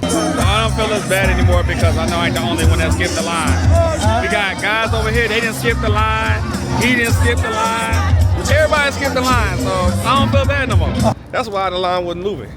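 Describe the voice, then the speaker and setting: Amused man vlogging on a loud city street. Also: high-pitched, moderate pace, a loud crowd. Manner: amused, animated, joking. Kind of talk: on-location vlog commentary. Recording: outdoor street noise with loud music.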